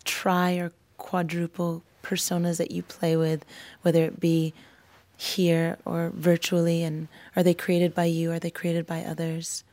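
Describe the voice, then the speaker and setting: A woman's voice: on the low side, monotone, unhurried, a little mellow. A woman interviewing a guest, asking long, winding questions full of restarts and you knows. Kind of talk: candid conversation.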